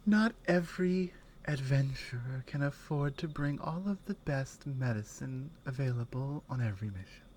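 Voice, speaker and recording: A woman playing a strong, caring Nordic warrior companion in an intimate ASMR roleplay, speaking in halting phrases that often drop toward a whisper. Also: a bass voice, expressive, darkish tone, slight background noise. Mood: reassuring, instructive. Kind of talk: ASMR roleplay, intimate one-sided dialogue.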